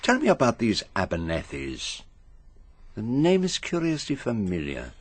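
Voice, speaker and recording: An actor playing a courteous, inquisitive gourmet detective in a radio drama, speaking in a measured, precise, formal way. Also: male voice, lowish voice, huge pitch swings, dry recording, full character voice. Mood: curious, probing. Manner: measured, precise, formal, savouring his words. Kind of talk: radio drama acting with first-person narration.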